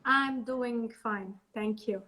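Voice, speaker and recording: High-voiced Lebanese-accented woman guest. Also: slow, pushed and bright. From born in Liberia, French Lebanese. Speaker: guest coach. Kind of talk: casual chat.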